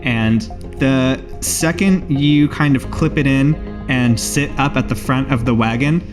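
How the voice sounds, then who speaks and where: A man's voice: mid-range voice, a little slow. A man running and narrating a tabletop role-playing game as game master, teasing and playful. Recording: music behind the voice. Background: background music.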